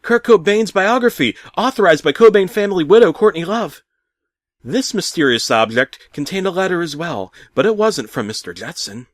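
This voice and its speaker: A man narrating a comic first-person horror tale as a storyteller, theatrically shifting between hushed asides and loud exclamations. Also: high voice, lively intonation, normal speed, dry recording, clean studio sound. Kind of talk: dramatic first-person creepypasta narration, comedic.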